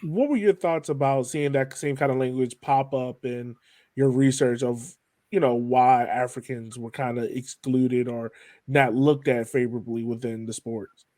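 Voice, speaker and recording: A man's voice: medium pitch, fairly even intonation, normal speed, darkish tone. A man with an American accent hosting a podcast, asking questions in a casual, conversational style. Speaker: podcast host, interviewer. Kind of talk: casual interview chat.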